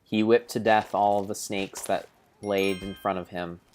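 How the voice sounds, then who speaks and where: A man giving a grave, theatrical, storybook-style narration of video game play, in deliberate phrases with pauses. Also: lowish voice, little pitch movement, darkish tone, soft background music. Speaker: streamer narrating his gameplay.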